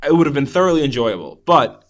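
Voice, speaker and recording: A man's voice: a little high, big pitch swings, fast talker. A man hosting a podcast, chatting casually about film in an enthusiastic, rambling way with some swearing. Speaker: podcast host. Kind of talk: casual film review chat.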